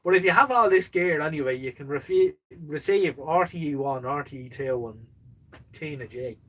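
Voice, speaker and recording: A man with a husky, somewhat high voice hosts a live stream. He chats casually to viewers in a rambling, jokey way, happy to poke fun at himself. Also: lively intonation, moderate pace, pushed and bright, poor audio. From born in the Netherlands, lives in Ireland. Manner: rambling, jokey, self-deprecating.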